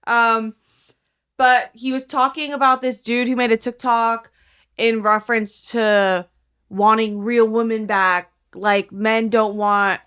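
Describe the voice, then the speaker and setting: A woman's voice: on the high side, level tone, slow pace, fairly bright tone, clear, resonant tone. A woman co-hosting a podcast, swearing freely and teasing her friends as she tells stories in casual chat, acting out quotes as she goes. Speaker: podcast co-host.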